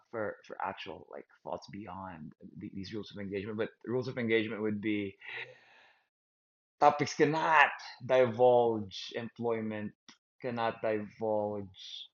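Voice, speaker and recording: Filipino man rambling in a low voice. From Philippines. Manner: halting, repeats words, self-deprecating. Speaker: host. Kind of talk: rambling stream-of-consciousness monologue. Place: outdoors in a park. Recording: dull lo-fi sound.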